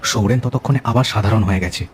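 A man's voice: lowish voice, quick pace. A man telling a cartoon ghost story as narrator, with dramatic scripted delivery.

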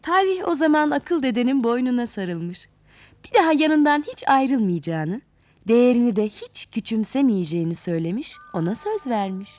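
A woman narrating a fairy tale for children in an expressive, theatrical way, addressing young listeners directly. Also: on the high side, normal speed, dry recording. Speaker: children's storyteller.

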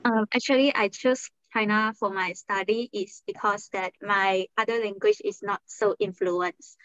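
A woman's voice: medium pitch, fairly even intonation, unhurried, fairly bright tone, dry recording. A young woman with a Malaysian accent answering as a student guest on a video call, hesitant and plain-spoken. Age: young adult. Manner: hesitant, simple phrasing with "um". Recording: dull dry video-call audio.

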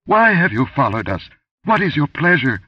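A man reading aloud, voicing a terrified high priest who stammers out his pleas. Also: very animated, moderate pace, slightly rough, no echo, highs cut off. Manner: stammering, frantic.

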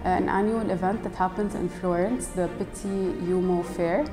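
A woman's voice: lowish voice, steady tone, moderate pace. A woman in her early twenties from Lebanon, giving an interview testimonial as a student. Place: indoors against a plain wall and banner. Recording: reverberant hall, music underneath. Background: clear background music.

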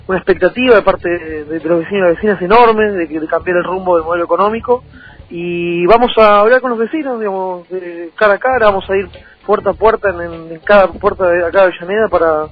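Phone-like audio, man, Rioplatense Argentine accent, high voice, emphatic halting political interview talk. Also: breathy edge. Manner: emphatic, repetitive, halting phrasing. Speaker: political candidate interview guest. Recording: tinny phone-like sound over music.

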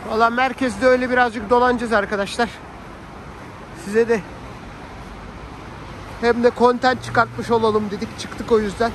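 A man's voice: extremely high pitch, normal speed, long pauses. A man chatting casually and slangily to viewers and family as he vlogs outdoors on a phone. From Turkey. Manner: colloquial, slangy, animated asides to family and viewers. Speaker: vlogger. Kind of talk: casual chatty vlog narration. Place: outdoors on a snowy city street. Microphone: handheld phone. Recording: noisy outdoor street with traffic.

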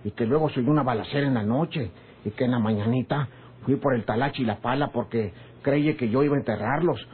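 An actor with a northern Mexican accent playing a sly, quarrelsome, rustic ranch hand in a radio drama, his voice husky. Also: mid-range voice, brisk. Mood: agitated. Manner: rustic, colloquial. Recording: muffled old radio serial recording.

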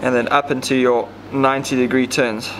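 A man's voice: medium pitch, level tone, moderate pace, noisy background. A man, casually explaining step by step how to rig fishing gear, with plenty of ums. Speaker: fishing tackle demonstrator. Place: indoor tackle shop counter.

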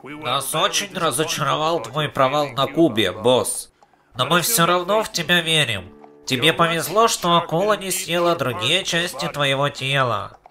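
A man's voice: a little high, expressive, moderate pace, sharp tone, faint music behind. A man voicing a stern, pompous military commander delivering solemn briefings in a parody cartoon dub.

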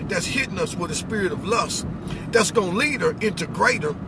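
A man's voice: sits high, lively intonation, a little fast, loud music behind the voice. A middle-aged American man giving an informal spiritual talk in an emphatic, instructive way, often checking in with questions. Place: inside a vehicle cab. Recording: very noisy vehicle, road noise. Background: car and outdoor noise.

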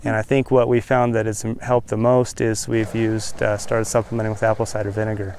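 A man's voice: on the low side, level tone, fairly quick, darkish tone, slight background noise. A man in his thirties from Wyoming, a rancher in a documentary interview, talking earnestly with many restarts and fillers. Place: indoor room.

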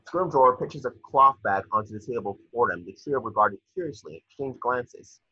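A man with a husky voice reading screen directions as narrator at a table read over a video call. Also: mid-range voice, a little fast, sharp tone, no echo. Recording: muffled lo-fi video call audio.

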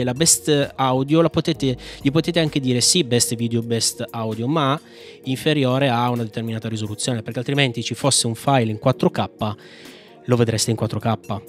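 A man from Italy hosting a casual software walkthrough, chatting informally and thinking aloud as he tries commands. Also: mid-range voice. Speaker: tech tutorial host. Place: indoor room at a computer, screencast with small webcam.

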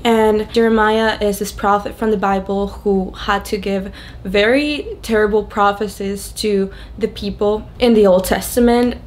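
A young woman with a Venezuelan accent speaks as a native Spanish speaker would. She shares a personal testimony to camera in a candid, conversational way, at an average pitch. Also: slight background noise. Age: young adult. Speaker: video creator sharing a testimony.

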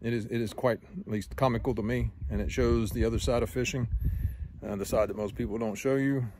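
A man's voice: mid-range voice, steady tone, fairly quick, a little mellow, a little hiss or hum. A middle-aged man in a casual fishing vlog, talking easygoing and self-mocking to a camera held at arm's length, outdoors by a boat on the water. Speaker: fishing vlogger.

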